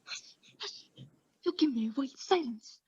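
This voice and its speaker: A woman playing an obsessed mad murderer in a theatrical radio play, confessing intensely in hushed whispers and frantic outbursts. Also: high voice, animated, unhurried, dull audio. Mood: frantic denial.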